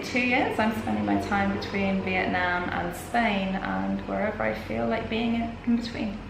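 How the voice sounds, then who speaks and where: A woman's voice: medium pitch, vocal fry. A middle-aged woman, a guest in a casual interview, telling her life story in an open and reflective way. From Denmark. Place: indoors at a yoga retreat. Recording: echoey room with background noise.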